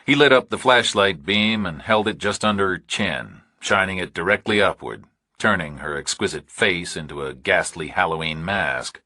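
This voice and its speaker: Deep, husky male thriller audiobook narrator. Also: lively intonation, pushed and bright, no echo. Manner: measured, clipped, dramatic phrasing.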